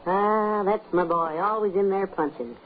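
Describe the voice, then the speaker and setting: An American woman playing a patient, gently teasing housewife with a dry manner in a 1950s radio comedy. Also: medium pitch, unhurried, natural voice. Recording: tinny, faintly noisy old radio recording.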